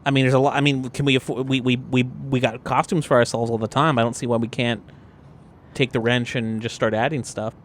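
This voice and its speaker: A man's voice: mid-range voice, flat delivery, brisk, slight background noise. Man reasoning aloud at a game table. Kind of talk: casual table talk.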